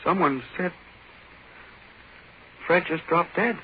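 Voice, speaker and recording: An actor playing an excitable, nervous middle-aged businessman in 1940s radio drama, stammering and protesting as he talks. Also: sits high, slow pace. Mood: panicked. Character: excitable bald pot-bellied little businessman, nervous and incredulous. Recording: dull, noisy 1940s radio recording.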